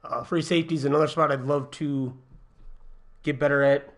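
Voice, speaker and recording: A young man with a slightly raspy voice from a cold, chatting casually through a gaming livestream from his apartment, thinking aloud with ums and uhs. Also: fairly high pitch, fairly even intonation, moderate pace. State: getting over a cold, a little raspy. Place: home apartment.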